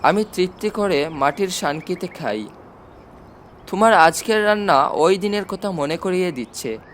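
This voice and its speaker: An affectionate army chief as a man narrator reads him, confident and fatherly in a storybook. The voice is high for a man, explaining and reflecting, with faint noise behind it. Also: animated, measured pace, fairly bright tone. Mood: content, reminiscing. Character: army chief uncle, affectionate, confident, older than his listener. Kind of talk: storybook reading.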